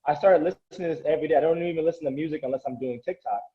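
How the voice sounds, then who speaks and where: A man's voice: on the high side, rapid-fire, dull audio. A man who coaches and grew up speaking Korean, talking earnestly and motivationally in a casual interview, often restarting his sentences.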